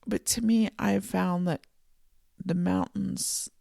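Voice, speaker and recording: Slow, gravelly, froggy-voiced podcast host. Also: mid-range voice, fairly even intonation, no room sound, clean studio sound. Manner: soft, slow and contemplative, with gentle restarts. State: froggy morning voice. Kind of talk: reflective solo podcast monologue. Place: home office and art studio.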